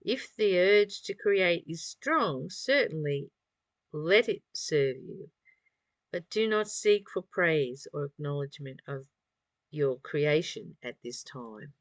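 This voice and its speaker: A woman reading a spiritual study text aloud and commenting on it informally, in measured phrases with pauses. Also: low-pitched, animated, no echo.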